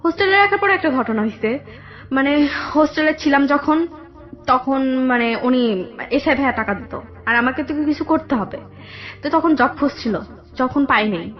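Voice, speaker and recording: A young woman with a Bangladeshi accent telling her personal story in a radio interview, halting and repeating herself. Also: high-pitched, expressive. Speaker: guest telling own life story. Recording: dull lo-fi radio audio, background music.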